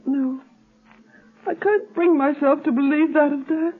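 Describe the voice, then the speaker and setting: A young grieving daughter from a vintage radio play, hesitant and plaintive, high-pitched, with faint music underneath. The sound is narrow and tinny, like an old broadcast. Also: female voice, fairly even intonation, unhurried. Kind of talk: 1940s radio acting.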